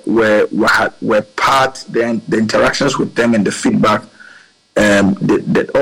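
A man's voice: lowish voice, husky, rough recording. A man, a politician answering interview questions in an earnest, rambling way with many fillers. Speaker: politician guest.

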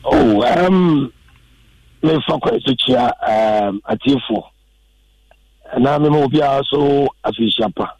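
Husky Ghanaian man, muffled. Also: mid-range voice, slow, long pauses, darkish tone, dry recording. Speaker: restaurant owner guest. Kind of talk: live radio interview.

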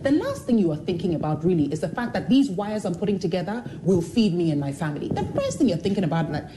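A woman's voice: on the low side, quick pace, noisy background. A woman who is a television personality, talking candidly in an interview and acting out the voices of people in her stories. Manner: expressive, animated storyteller who acts out quoted voices. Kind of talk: candid motivational interview talk.

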